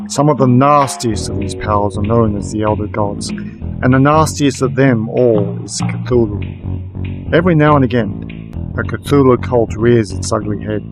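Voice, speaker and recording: Noir radio drama lead, man acting: creaky-voiced wry detective, resigned and deadpan, music underneath. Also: lowish voice, measured pace, rough recording. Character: weary, wry male werewolf private investigator narrating. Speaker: narrator and protagonist. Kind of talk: first-person noir radio play narration.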